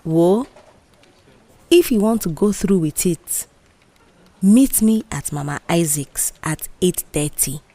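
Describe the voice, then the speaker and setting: A woman voicing a bold, mischievous young office worker with a Nigerian accent, animated, dismissive and persuasive, in an audio drama. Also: on the low side, slow, long pauses, dry recording. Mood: confident, brash. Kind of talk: audio drama character dialogue.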